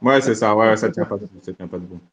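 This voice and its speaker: A man's voice: lowish voice, fast, muffled recording. A man hosting a casual live audio discussion, joking, thinking aloud and laughing often. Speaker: host.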